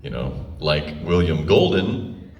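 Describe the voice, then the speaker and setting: A man's voice: extremely low pitch, very animated, slow, creaky, highs cut off, crowd noise. A forty-year-old man telling comic stories to a live audience in a wry, self-deprecating way, often laughing as he talks. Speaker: touring performer telling stories on stage. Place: live stage show before an audience. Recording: roomy live stage, distant mic.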